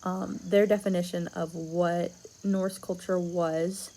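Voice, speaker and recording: A young woman presenting a school project in a casual, halting way, stuttering and pausing often and dropping to a whisper at times, recorded on a tablet at home late at night. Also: on the low side, level tone, mellow. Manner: stuttering, halting, with many pauses and fillers. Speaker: student presenting a class project.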